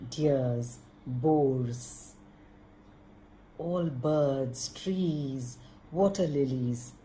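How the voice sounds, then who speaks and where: A middle-aged woman, gently narrating a story for a puppet show in measured phrases with long pauses. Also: very deep voice, slight background noise, rough recording. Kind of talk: slow scripted narration.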